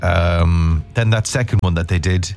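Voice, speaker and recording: Male radio host, congested, low-voiced, rambling jokey banter over music. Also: a little slow, a little mellow. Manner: jokey, rambling, bunged-up from a cold. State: blocked nose from a mild cold. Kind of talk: casual radio chat.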